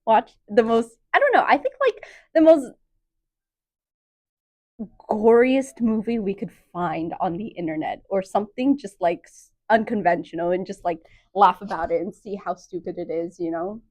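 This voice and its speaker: A woman's voice: medium pitch, lively intonation, normal speed, darkish tone. A twenty-three-year-old woman answering casually, meandering with lots of "like"s. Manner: dreamy, meandering, many "like"s. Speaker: contestant.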